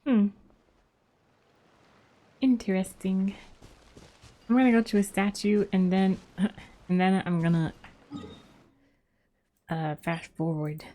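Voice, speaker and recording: A woman's voice: mid-range voice, steady tone, takes it slowly, long pauses, warm tone, a little hiss or hum. A woman streaming a video game, chatting casually in a laid-back way as if musing to herself.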